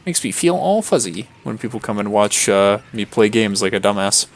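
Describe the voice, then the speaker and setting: A worn-out man speaks in a very low voice with plenty of gravelly fry. He rambles in a flat monotone, stalling on fillers and false starts as he plays and chats to his viewers. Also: normal speed. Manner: rambling, many fillers and restarts. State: tired. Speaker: streamer. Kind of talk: casual gameplay commentary.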